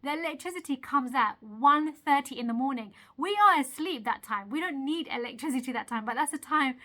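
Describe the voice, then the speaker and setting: Young woman vlogger, fairly high and bright, chatty and earnest, speaks straight to viewers. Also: brisk. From Eritrea. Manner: chatty and earnest, laughs at herself, asks the viewer questions. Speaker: video creator. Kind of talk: casual motivational talk to camera.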